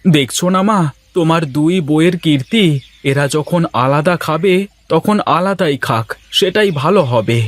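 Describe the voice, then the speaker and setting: A man's voice: a little high, animated, normal speed, no echo, natural voice. A man playing a weary village husband and son in a cartoon, with an earnest, plaintive way of talking. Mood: exasperated. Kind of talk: Bengali cartoon dialogue acting.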